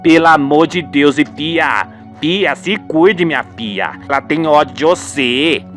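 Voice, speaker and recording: A man's voice: fairly high pitch, takes it slowly, a bit bright, pitched below the performer's own voice. A man voicing a worried rustic father in a radio soap, folksy and exclamatory, fearful and protective of his daughter, with music behind. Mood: fearful, pleading. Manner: folksy rural speech, exclamatory.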